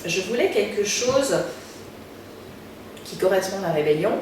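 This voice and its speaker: A French woman, a singer, answering interview questions in a casual, halting way, full of fillers and restarts. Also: mid-range voice, animated, a little mellow. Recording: very echoey room, distant mic, noticeable background noise.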